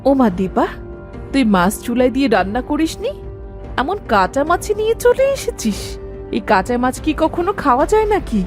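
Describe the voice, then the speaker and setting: A woman voicing a sensible, gently scolding middle-aged village mother in an animated fairy tale. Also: sits high, normal speed, over music.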